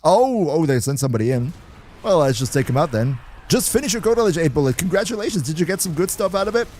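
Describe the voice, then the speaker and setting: A man's voice: a little high, lively intonation, fast talker, a little mellow, slight background noise. A young German man with a German accent, hosting a casual gaming livestream, chatting with viewers and reading the chat between laughs. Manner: chatty, reads and answers chat, laughs often. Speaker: livestream host.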